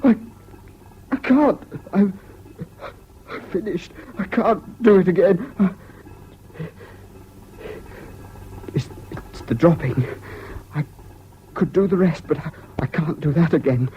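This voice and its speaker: A man's voice: high voice, measured pace, pauses often. An actor playing a brittle, anguished English serviceman in a 1970s British radio drama. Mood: breaking down. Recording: dull, noisy old radio recording.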